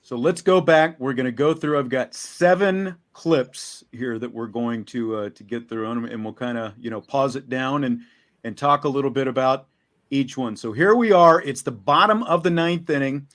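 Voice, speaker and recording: A man's voice: medium pitch, moderate pace, fairly bright tone. A man hosting a sports talk show, interviewing conversationally and recapping games, rambling a little and repeating words mid-phrase.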